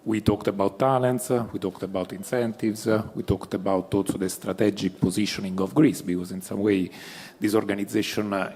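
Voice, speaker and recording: A man from Italy with an Italian accent, speaking as a panelist at a business forum and pausing often on fillers. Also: fairly low pitch, darkish tone, breathy edge. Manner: hesitant, many fillers, non-native phrasing. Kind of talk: panel discussion. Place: club event hall.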